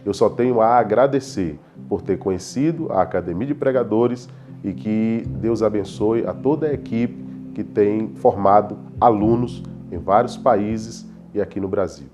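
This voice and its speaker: A man's voice: fairly low pitch, unhurried, dark tone. A man giving an earnest, devout personal testimonial as a student. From Salvador, Bahia, Brazil. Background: background guitar music.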